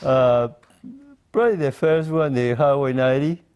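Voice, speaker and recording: A man in his forties from Mexico, speaking English as an interview guest, in a slow, halting way with many pauses and fillers. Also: mid-range voice, darkish tone, clear, resonant tone. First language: Spanish. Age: 40s. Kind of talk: casual interview conversation.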